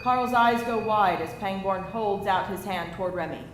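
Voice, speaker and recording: A woman's voice: medium pitch, normal speed. A woman narrating stage directions from a script at a live table read. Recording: echoey room with faint hiss.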